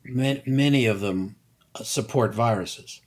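Older male expert guest, very slow and deliberate delivery. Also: mid-range voice. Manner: measured, halting, many fillers. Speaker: guest scientist. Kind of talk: explanatory interview answers.

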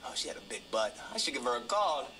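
A man's voice: mid-range voice, sing-song, fast, sharp tone, slight background noise. A man playing a panicky, blustering night guard in a comedy, repeating himself frantically. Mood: desperate.

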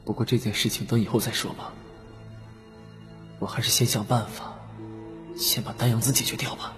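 A man's voice: lowish voice, steady tone, normal speed, pitched above the performer's own voice, lightly acted. A man voicing an unstable, haunted young man who frantically denies his guilt in an audiobook, muttering and repeating himself. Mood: reassured, puzzled. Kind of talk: audiobook character acting. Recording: dramatic music behind the voice.

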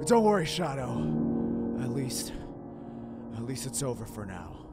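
A man's voice: medium pitch, very animated, a little slow, over loud music. A man voicing an edgy, brooding cartoon hedgehog who is easily panicked and exasperated, with a strained, melodramatic, stammering delivery. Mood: shaken. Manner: melodramatic, repetitive yelling and stammering. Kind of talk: cartoon comic dub acting.

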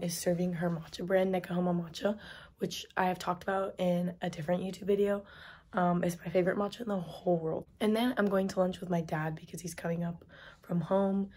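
Young female vlogger, lost-voice hoarseness, casual phone-selfie delivery, slightly low voice. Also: a little mellow, a little hiss or hum. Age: young adult. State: losing her voice, hoarse. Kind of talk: casual vlog talk to camera. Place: dorm room. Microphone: phone at arm's length.

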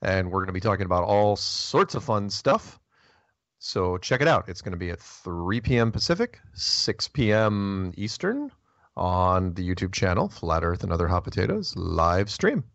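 A man's voice: deep voice, sing-song, normal speed, vocal fry, a bit bright, no echo, dull audio. A man hosting a call-in show, chatty and joking in casual talk. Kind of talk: casual call-in radio chat.

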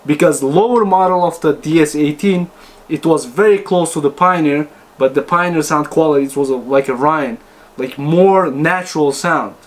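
A man giving a casual, unscripted product review, talking to viewers in choppy, informal phrases. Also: a little high. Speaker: host reviewing car audio speakers.

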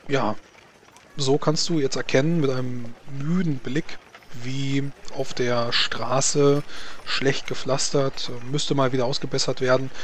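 A man's voice: mid-range voice, a little hiss or hum. A man narrating a tabletop role-playing game as game master, improvising scene descriptions.

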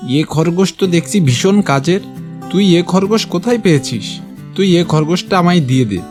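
A man's voice: high voice, animated, moderate pace, over music. Brusque, greedy village uncle acted by a man. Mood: greedy, curious. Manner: brusque, demanding. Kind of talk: Bengali cartoon moral tale dialogue.

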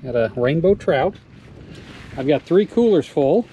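A man on his farm casually walking through his fish-and-plant tank setup, explaining step by step with frequent pauses and "uh"s, recorded outdoors on a wearable camera. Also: on the high side, animated, mellow. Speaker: farmer showing his aquaponics setup. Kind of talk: casual walk-through narration. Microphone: wearable action camera. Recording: noisy outdoor camera audio. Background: outdoor ambience with running water.

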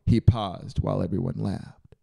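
A man's voice: low voice, fairly even intonation, moderate pace, vocal fry, dark tone. A man in his thirties narrating a scripted story as an audiobook reader, close on the microphone. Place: small brick-walled home studio. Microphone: close dynamic mic on a boom arm.

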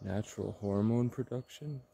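A man in his twenties giving a slow, rambling monologue in short, halting phrases while walking outdoors. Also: on the low side, creaky, dark tone, slight background noise. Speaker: vlogger. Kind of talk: slow rambling monologue, ASMR-style walk.

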